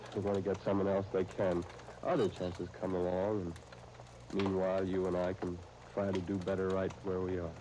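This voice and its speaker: An actor playing an earnest, wounded young husband with halting delivery in an old-time radio drama. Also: male voice, low voice, flat delivery, a little hiss or hum, natural voice, poor audio. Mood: gentle, pleading. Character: earnest, long-suffering young husband Chris, hurt but devoted.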